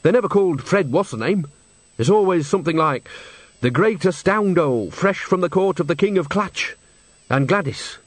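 A man's voice: high voice, expressive, normal speed, dry recording, voice pushed up. A man voicing a nosy, earthy old country woman with a chummy, blunt manner in a storybook. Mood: curious.

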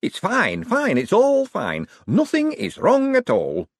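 A man's voice: high-pitched, wildly swooping pitch, moderate pace, slightly rough, dry recording, clean studio sound, pitched above the performer's own voice, heavily acted. A man voicing an anxious, fragile, manic banker in an audiobook, quavering, flustered and repeating himself. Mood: nervous denial. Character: anxious, fragile, manic male banking eccentric.

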